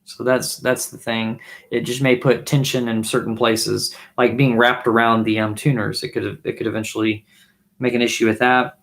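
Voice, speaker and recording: Hoarse man chatting on a live stream. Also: mid-range voice, little pitch movement, normal speed, a bit bright. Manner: musing, trailing off with restarts while playing. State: losing his voice, throat clearing. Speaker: livestream host and bassist. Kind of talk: casual live stream chat. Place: small home music room, indoors. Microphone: webcam mic at desk distance, wears headphones.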